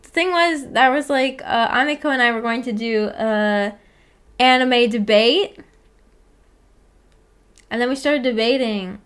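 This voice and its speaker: High-voiced woman streamer, giggly and chatty. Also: a bit bright, clean tone. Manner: giggly, playfully teasing and apologetic; rambling, halting, filler-heavy talk with frequent "like". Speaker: livestream host. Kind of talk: casual livestream chat with viewers about anime.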